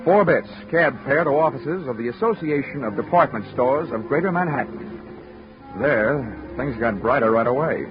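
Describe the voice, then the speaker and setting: An actor playing a wry, flirtatious insurance investigator with deadpan delivery in 1940s radio detective drama. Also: male voice, mid-range voice, moderate pace, close to an everyday voice. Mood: wry, dry. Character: wisecracking flirtatious male freelance insurance investigator. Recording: dull vintage radio recording with music behind.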